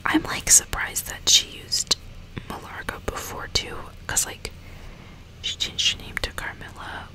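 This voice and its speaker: A woman whispering in a breathy, unvoiced hush, as an ASMR host reading aloud in an unhurried way. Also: rough recording. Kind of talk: whispered ASMR audiobook reading with casual asides.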